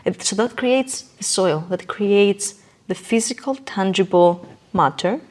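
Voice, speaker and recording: A woman talks as the guest in a podcast conversation. She explains things earnestly and with emphasis, often rounding off a point with a short tag question. Her pitch sits in an average range for a woman. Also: unhurried, a little mellow. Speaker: interview guest, coach.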